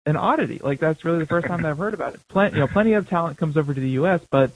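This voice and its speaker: A man co-hosting a talk radio show, casual and joking, speaking in halting bursts. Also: fairly high pitch, animated, poor audio. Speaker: radio co-host.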